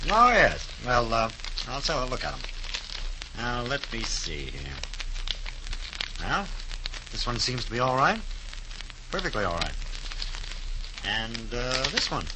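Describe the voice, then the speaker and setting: An actor playing a folksy but official investigator who talks with hesitant pauses in a 1930s radio drama. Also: male voice, medium pitch, very animated. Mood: measured, official. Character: smooth-talking, self-assured male government investigator. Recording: 1930s radio recording with faint noise.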